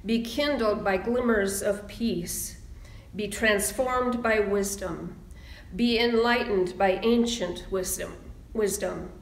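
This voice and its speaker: A woman minister speaking in a measured, deliberate sermon style, pausing for emphasis as she reads and preaches. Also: medium pitch, gravelly. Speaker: minister leading a church service. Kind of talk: sermon and slow, solemn readings. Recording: roomy, echoing sound.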